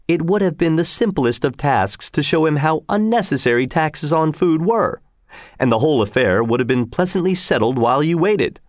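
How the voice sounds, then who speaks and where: A man voicing a pompous, self-important Swiss gentleman who lectures his friends. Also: mid-range voice, no room sound, clean studio sound, pitched above the performer's own voice, close to an everyday voice. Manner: pompous, fussy.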